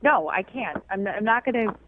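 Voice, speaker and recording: A woman's voice: mid-range voice, expressive, moderate pace, phone-quality sound, a little hiss or hum. A woman, a caller on a radio dating segment, talking casually in a blunt, sarcastic, skeptical way. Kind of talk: argumentative casual phone chat.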